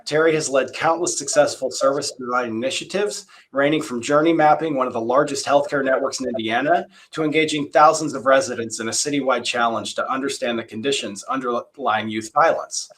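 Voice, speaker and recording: A man's voice: mid-range voice, steady tone, brisk, hardly any pauses, a bit bright, a little hiss or hum. A man moderating a design panel, reading guest introductions and then chatting casually with many fillers and restarts.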